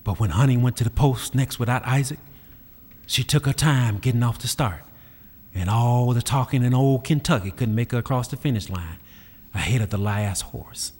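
A man's voice: mid-range voice, moderate pace, darkish tone, breathy edge. A man narrating an old-time radio drama in a folksy storytelling manner. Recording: old radio recording with music behind.

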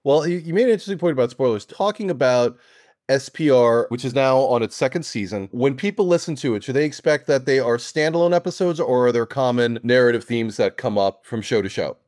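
A man with a mid-range voice hosts a relaxed, conversational interview. He sounds amused and encouraging as he talks to his guest. The recording is very dry and close, without room sound. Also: medium pitch, brisk, dry recording. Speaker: podcast host, interviewer.

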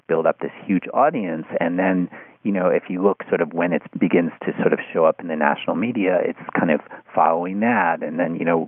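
A man speaking as an interview guest in a thoughtful, halting conversational way, often restarting his phrases. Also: low voice, no room sound. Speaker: interview guest, writer of an article on podcasts. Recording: phone-like narrow-band sound.

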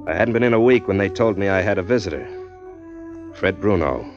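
An actor playing a streetwise, hardboiled drifter who narrates in a tough, dry, wisecracking way, in 1940s radio acting. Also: male voice, deep voice, expressive, brisk. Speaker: narrator and lead. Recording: dull lo-fi 1940s radio recording, faint music.

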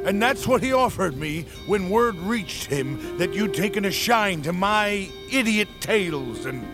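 Slow-spoken humble lowland storyteller. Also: male voice, expressive. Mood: guilty, pleading. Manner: deferential, earnest, measured, old-fashioned wording. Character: humble lowland storyteller, gentle, guilty, loyal older male servant. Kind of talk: cinematic fantasy audio drama acting. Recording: music under the voice. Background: cinematic music.

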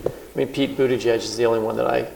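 Man, podcast host, gravelly voice, thoughtful, rambling, live on stage. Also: mid-range voice, steady tone, fast, a little mellow, poor audio. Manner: thoughtful, rambling, self-interrupting.

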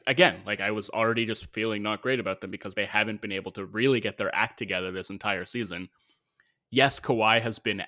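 A man's voice: fairly low pitch, bright, forceful tone. A man co-hosting a sports podcast, chatting casually in a teasing, emphatic and opinionated way. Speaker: podcast co-host.

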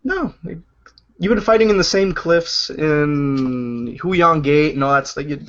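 A man's voice: a little high, a little slow, a bit bright. A man co-hosting a casual podcast, reviewing games in a rambling way, with lots of "like" and "you know". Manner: rambling, lots of fillers and restarts, laughs easily. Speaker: podcast co-host, game reviewer. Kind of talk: casual chat. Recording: dull lo-fi podcast audio.

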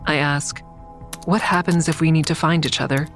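A woman's voice: low voice, steady tone, moderate pace, faint music behind. An actress playing a guarded, stressed woman investigator who also narrates in a first-person audio drama. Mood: subdued, uneasy. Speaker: narrator and lead character.

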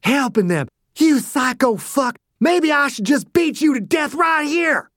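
A man's voice: on the high side, measured pace, no room sound, studio quality. A man voicing a brutal, menacing man who threatens and snarls at a younger man. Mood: furious.

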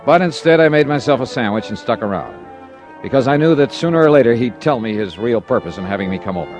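An American man playing a wry, hard-boiled insurance investigator in a 1950s radio detective drama, brisk in manner. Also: mid-range voice, animated, close to an everyday voice. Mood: wry narrating. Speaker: lead actor and narrator of a radio detective series. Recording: vintage radio recording with music.

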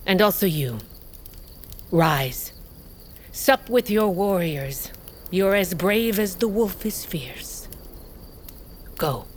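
Low-voiced, commanding warrior queen. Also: expressive, a little hiss or hum. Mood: measured, imperious. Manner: imperious, measured. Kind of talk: fantasy audio drama.

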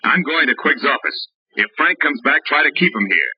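An actor playing a hardboiled, wry private detective in 1940s radio acting, tough and clipped. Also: male voice, mid-range voice, sing-song, normal speed, husky, no room sound. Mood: urgent, tough. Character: hardboiled, wry male private detective narrating his case. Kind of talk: 1940s radio acting, scripted first-person narration. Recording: muffled old radio recording with music.

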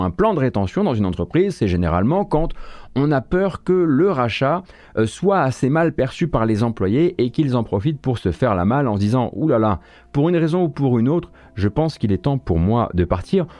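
Male host, casual news chat, hesitant and wry, warm low voice, dry recording. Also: sing-song, moderate pace, no echo. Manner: hesitant, many fillers and self-corrections, wry. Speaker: host of a morning video game news stream.

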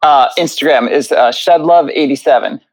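A man's voice: mid-range voice, moderate pace, low-quality recording. A man talking casually as an interview guest, repeating phrases for emphasis. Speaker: podcast guest.

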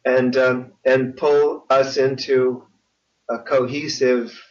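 An older man talks in a reflective interview style at a slow pace. His phrasing is articulate and measured. The sound is dull and sits away from the mic. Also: medium pitch, little pitch movement, recorded from a distance. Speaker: interview guest, audiobook narrator. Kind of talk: conversational interview.

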